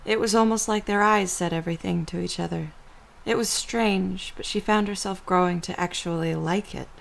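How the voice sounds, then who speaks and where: Creaky-voiced woman narrating a story. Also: fairly low pitch, steady tone, normal speed.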